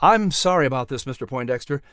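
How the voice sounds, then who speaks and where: A man voicing a humble, polite young man with an earnest, slightly halting delivery in an audiobook story. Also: mid-range voice, lively intonation, fairly bright tone, smooth, no room sound, studio quality, close to an everyday voice. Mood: apologetic. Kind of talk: audiobook character dialogue.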